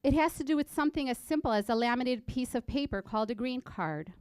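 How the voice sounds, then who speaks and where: A nineteen-year-old woman from Egypt, raised in Illinois, reading a prepared personal story in an earnest, measured way at a college library event. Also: sits high, expressive, a little mellow, smooth, dry recording, studio quality. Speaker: student speaker.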